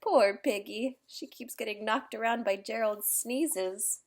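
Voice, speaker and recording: A woman's voice: fairly high pitch, measured pace. A woman reading a picture book aloud to children, adding sympathetic asides about the characters. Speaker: read-aloud storyteller.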